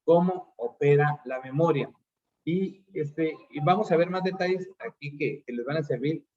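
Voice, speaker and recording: A man's voice: fairly high pitch, moderate pace, a little mellow, lo-fi sound. A man giving an online seminar on addiction counseling, explaining concepts step by step with frequent fillers. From Mexico. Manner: explanatory, uses fillers like "este".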